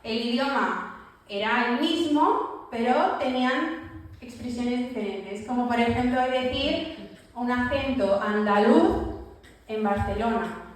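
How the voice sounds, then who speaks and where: A woman with a high voice preaches to her congregation, telling the story vividly and acting out the lines she quotes. She is heard from a distance in a very echoey church hall. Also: takes it slowly. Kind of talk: sermon.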